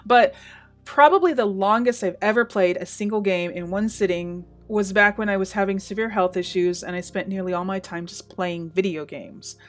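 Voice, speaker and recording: Chatty Northwest American woman, gaming vlog. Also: lowish voice. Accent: Pacific Northwest American with slight Southern and Canadian hints. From Washington State, USA. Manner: chatty, laughs often, self-deprecating asides. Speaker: gaming YouTuber. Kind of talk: casual Q&A monologue.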